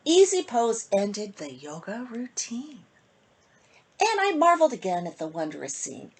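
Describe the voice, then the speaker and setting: A woman reads a children's picture book aloud in a fairly high voice. Her melody swings widely in a sing-song storytelling style. The tone is breathy, with phrase endings that often drop softly. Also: wildly swooping pitch, a little slow. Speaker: author reading own picture book to children.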